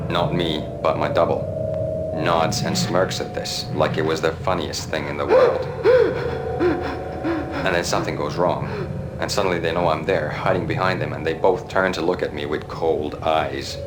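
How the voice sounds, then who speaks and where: An actor voicing a weary cop narrating in a flat, deadpan, hard-boiled noir delivery. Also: male voice, rumbling low, moderate pace. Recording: loud game music and noise behind.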